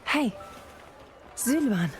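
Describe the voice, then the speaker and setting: An actress playing a friendly young traveling companion in a video game, speaking in a gentle, conversational way. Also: female voice, fairly high pitch, lively intonation, drawn-out words, faint music behind. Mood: friendly, relieved.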